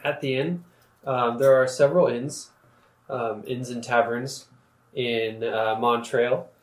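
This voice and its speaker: A man narrating as game master, describing scenes in a relaxed way with many pauses and fillers. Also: mid-range voice. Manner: relaxed, many ums and uhs. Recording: echoey room with faint noise.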